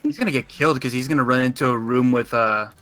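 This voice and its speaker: Bright-voiced man in a tabletop game. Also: medium pitch, quick pace. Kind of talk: casual chat.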